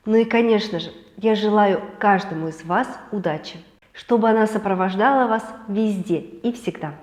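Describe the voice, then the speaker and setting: Hoarse woman hosting a news roundup. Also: mid-range voice, normal speed, echoey room. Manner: lively, chatty, with personal asides. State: hoarse from a cold. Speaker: news show host. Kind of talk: weekly celebrity and travel news roundup.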